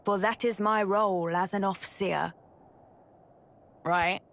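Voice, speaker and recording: A woman's voice: medium pitch, fairly even intonation, unhurried, fairly bright tone. A woman playing a brash, teasing young soldier in a video game, with a British accent and blunt, sarcastic, slangy delivery. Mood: brisk, mocking. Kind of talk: video game cutscene acting.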